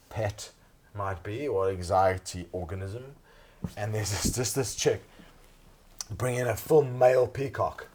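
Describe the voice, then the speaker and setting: A man co-hosting a podcast, telling stories in a wry, teasing way. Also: lowish voice. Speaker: podcast co-host. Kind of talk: casual podcast banter.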